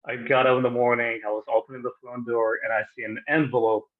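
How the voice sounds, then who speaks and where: A man in his thirties from Iraq, a podcast guest telling his story in a halting, casual way with many restarts. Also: mid-range voice, flat delivery. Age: 30s. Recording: tinny phone-like line.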